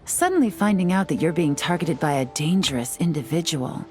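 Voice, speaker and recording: A woman voicing a cool, shrewd, composed investigator in a video game, speaking in a measured, knowing, self-assured way. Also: deep voice, animated, a little mellow, faint music behind. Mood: wry, composed. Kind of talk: English video game voice acting.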